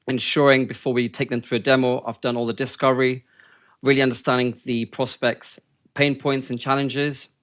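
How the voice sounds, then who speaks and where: A man's voice: mid-range voice, fairly bright tone, clear, resonant tone. A man in his thirties from Kenya, living in London, giving conversational answers as an interview guest. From born and raised in Kenya, later moved to London. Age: 30s. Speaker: podcast guest, sales development rep. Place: home office.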